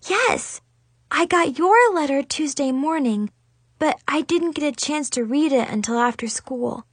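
Insecure young schoolgirl for an audiobook, voiced by a woman: high, sniffly, hesitant, softly anxious. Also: expressive, measured pace, dry recording. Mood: hurt, anxious. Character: shy, anxious, insecure schoolgirl with new braces.

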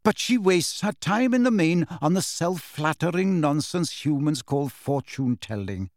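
A man voicing a calm, aloof centaur. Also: fairly high pitch, a little slow, no echo, studio quality. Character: calm, stately male centaur teacher. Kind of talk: audiobook character voice.

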